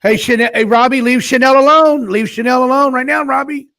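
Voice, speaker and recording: A man hosting a live stream, chatting loosely and loudly, coaxing his guests and repeating himself. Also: very high voice, a little fast. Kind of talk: casual live stream chat.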